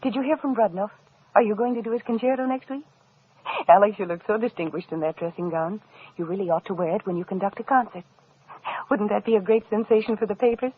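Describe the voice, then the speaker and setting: An actress playing a poised, sharp-tongued society wife in an old-time radio drama, with polished theatrical delivery. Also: female voice, mid-range voice, fast talker, slightly rough, close to an everyday voice. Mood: affectionate, chatty. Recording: tinny old radio recording.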